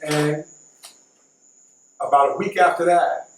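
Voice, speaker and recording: A middle-aged man with a fairly high voice spins a casual anecdote. He doubles back and repeats key points for emphasis. The recording is roomy and a bit distant from the mic. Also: fairly even intonation, takes it slowly. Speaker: storyteller. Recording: echoey, distant mic, faint noise.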